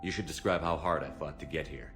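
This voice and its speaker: A man playing a confident, gruff military commander in a video game cutscene. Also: rumbling low, fairly even intonation, fast, music in the background. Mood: earnest. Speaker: squad commander.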